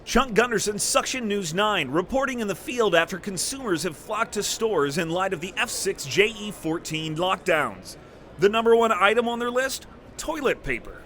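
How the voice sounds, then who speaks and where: Man as TV field reporter, high sharp voice, punchy, insistent, self-important, parody newscast, slight background noise. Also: lively intonation, normal speed. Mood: brisk, pushy.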